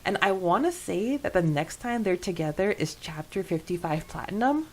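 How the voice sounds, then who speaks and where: A woman co-hosting a podcast in casual discussion, enthusiastic and quick to giggle as she recaps a story. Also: lowish voice, animated, a little hiss or hum, low-quality recording. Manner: enthusiastic, giggly, frequent laughing. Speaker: podcast co-host. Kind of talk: casual discussion recapping a fan fiction chapter.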